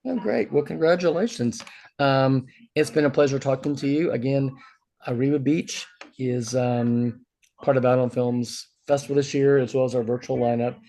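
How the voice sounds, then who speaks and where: A man's voice: mid-range voice, fairly even intonation, moderate pace, vocal fry, mellow. A man interviewing a guest for a film festival, conversational, phrasing his questions in hesitant fragments.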